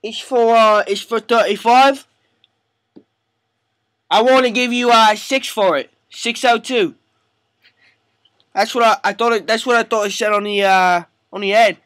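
A man's voice: high voice, steady tone, unhurried, long pauses, fairly bright tone, no room sound. A man voicing a pushy, hesitant British immigrant on a prank phone call, with a put-on accent and wheedling, rambling manner.

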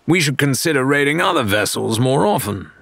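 A man's voice: medium pitch, very animated, a little fast, creaky, a bit bright, pitched above the performer's own voice, full character voice. A man voicing an argumentative, sardonic pirate crewman in an audiobook, with a mocking, eager manner. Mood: smug. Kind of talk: audiobook character dialogue.

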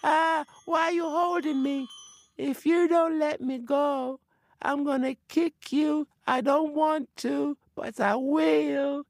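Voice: high-pitched, steady tone, slow, gravelly, no echo, pitched above the performer's own voice, exaggerated voice. Squealing invisible forest spirit. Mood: whining. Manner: squealing, pleading, petulant threats. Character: high-pitched whiny forest spirit, invisible and easily trapped.

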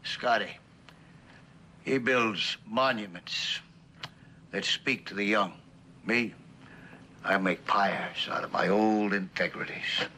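An actor playing a bitter, disillusioned middle-aged executive husband in a television drama, with a halting, sardonic delivery. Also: male voice, lowish voice, sharp tone, slight background noise. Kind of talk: 1960s television drama acting.

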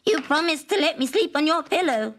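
A woman voicing a small green frog in a storybook, croaky, plaintive and persistently pleading. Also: sits high, expressive, brisk, a bit bright, pitched above the performer's own voice. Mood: calling, plaintive. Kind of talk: storybook character acting.

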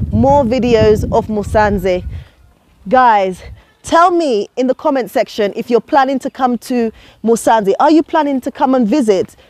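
A woman's voice: a little high, a little mellow. Chatty woman vlogging on a walk. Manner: chatty, persuasive, addresses viewers as guys. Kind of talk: casual walking vlog. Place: outdoors on a quiet suburban street. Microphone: clip-on lapel mic.

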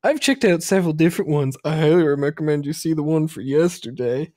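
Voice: mid-range voice, a little fast, dark tone. A panelist on a comedy podcast, casually introducing readers and reading posts aloud.

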